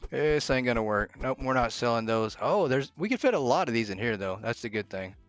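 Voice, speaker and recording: A man's voice: medium pitch, expressive, brisk, faint music behind. A man giving live gameplay commentary as a streamer, thinking aloud and muttering to himself as he plays. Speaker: game streamer.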